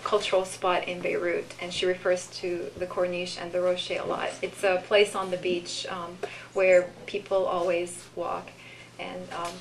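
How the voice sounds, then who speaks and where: A woman giving a live poetry reading, with deliberate, measured delivery and informal commentary between pieces. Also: on the low side, monotone, slight background noise, rough recording. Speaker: poetry reader.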